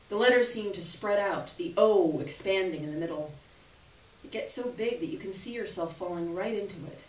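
A young adult woman performing a short story aloud in a live, expressive literary reading with deliberate pauses. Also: medium pitch. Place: small gallery room, live stage reading. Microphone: stand microphone, recorded by distant camera. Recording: roomy, distant camera audio with hiss.

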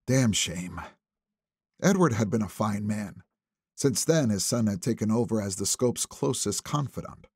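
A man's voice: on the low side, darkish tone, husky, no room sound, studio quality. A man reading a thriller novel as scripted audiobook narration.

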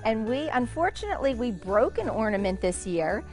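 A woman's voice: on the high side, very animated, normal speed, over music. A middle-aged woman, a shop owner, chatting enthusiastically as she gives a tour of her large indoor store, with frequent ums. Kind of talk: guided store tour interview.

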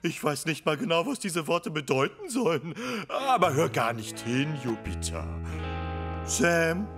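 A man's voice: a little high, very animated, measured pace, a bit bright, soft background music, full character voice. A man voicing an eager, clumsy, good-hearted young firefighter in a children's cartoon, exclaiming earnestly. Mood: apologetic, soothing. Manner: exclamatory, earnest, swooping delivery. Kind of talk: animated children's cartoon dubbing.

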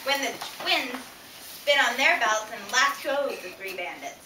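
A woman's voice: sits high, lively intonation, unhurried. A woman narrating a western tall tale aloud as a live storyteller for a puppet play. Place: school or library room with audience. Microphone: far camera. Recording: roomy, distant camera recording with loud room noise. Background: audience and room noise.

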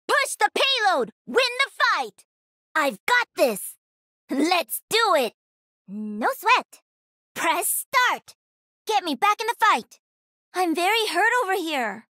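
A woman's voice: extremely high pitch, sharp tone, no echo, clean studio sound, heavily acted. A woman voicing a cocky, playful young gamer who pilots a mech in a video game, with punchy, teasing callouts. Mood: urgent, confident. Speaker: voice actor.

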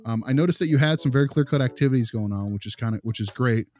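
A man playing a patient behavior consultant who gives feedback in a halting, rambling, reassuring, conversational way. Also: medium pitch, a little mellow, close to an everyday voice. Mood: tactful. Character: male behavior consultant, patient, diplomatic, encouraging. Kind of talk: conversational role-play of a consultation.

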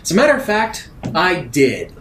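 A man's voice: sits high, huge pitch swings, normal speed, a bit bright, pitched above the performer's own voice, exaggerated voice. A man playing a stern, dismissive female security officer in a tabletop sci-fi game, curt and haughty. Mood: cold, dismissive. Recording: echoey room with faint noise.

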